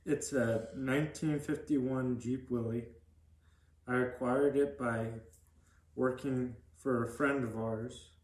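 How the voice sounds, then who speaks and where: A young man gives plain, halting answers as a podcast guest. His pitch is mid-range and stays quite flat, and the room sounds echoey. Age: young adult. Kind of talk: casual interview answers.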